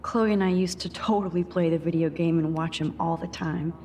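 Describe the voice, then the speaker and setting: Casting: woman as introspective teen girl student, lowish soft half-whisper, anxious, game dialogue with noisy background. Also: steady tone, a little fast, natural voice. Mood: wistful. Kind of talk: video game acting, inner monologue and dialogue.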